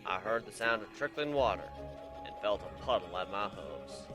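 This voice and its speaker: A man giving a dramatic reading of a story, hushed and suspenseful. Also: on the low side, expressive, normal speed, music in the background. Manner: hushed and suspenseful, rising to shouts in tense moments. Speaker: reader. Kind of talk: dramatic reading of fan fiction.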